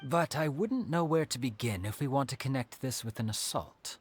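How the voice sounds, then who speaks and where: A talkative, upright town detective, voiced by a man, his mid-pitched voice bouncing up and down with eager expression. Faint music plays behind him in this video game scene. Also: lively intonation, moderate pace, lightly acted.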